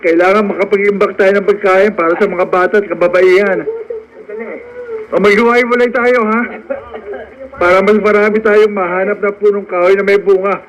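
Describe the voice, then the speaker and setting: A man's voice: high-pitched, steady tone, normal speed, lightly acted, poor audio. An actor playing a practical, cautious male farmhand companion with an urgent, exhorting manner in a radio drama. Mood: directing.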